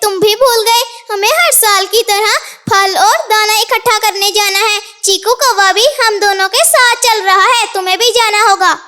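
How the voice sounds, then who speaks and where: A tiny female sparrow from a children's cartoon. The voice is extremely high and piercingly bright. She sounds earnest and friendly, coaxing and chiding like a little peacemaker. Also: steady tone, moderate pace, hardly any pauses. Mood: friendly, urging. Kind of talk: Hindi children's cartoon dialogue.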